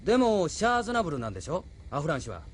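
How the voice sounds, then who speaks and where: A high-voiced, eager crewman. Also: huge pitch swings, racing, slight background noise. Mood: knowing. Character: eager, worried young crewman under the captain. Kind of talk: Japanese audio drama acting.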